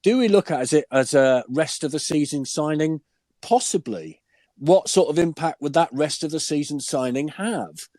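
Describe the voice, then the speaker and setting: An older man, hoarse and deep-voiced from a cold, chatting enthusiastically as a guest pundit and asking rhetorical questions. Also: expressive, normal speed, warm tone, dry recording, studio quality. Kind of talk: casual podcast chat.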